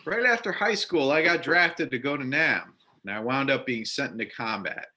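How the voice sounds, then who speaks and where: A man acts out a burly biker bartender and veteran in a readers theater piece. The voice is bright and forceful at a middle pitch. He talks in a folksy, blunt, chatty way. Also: lively intonation, moderate pace. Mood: reflective. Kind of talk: amateur readers theater acting.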